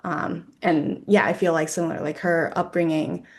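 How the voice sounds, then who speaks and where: A woman's voice: deep voice, steady tone, moderate pace. A young woman from Ohio with an American accent, reflecting informally on personal experience as a panelist, often saying "like". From Ohio, United States. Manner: informal, frequent "like" as a filler.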